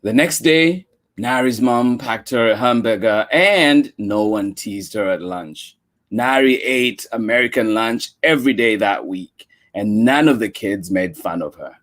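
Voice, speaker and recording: A man's voice: fairly low pitch, measured pace. A man reading a picture book aloud to children, cooing and sighing along with the story and talking to his listeners. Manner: expressive, sighs and coos at the story. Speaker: storytime reader. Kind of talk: children's read-aloud with asides.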